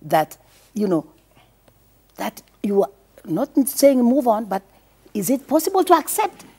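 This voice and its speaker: A woman's voice: fairly high pitch, sing-song, measured pace, darkish tone, slightly rough. A Kenyan woman on a political panel, putting probing questions to another guest. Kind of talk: panel debate.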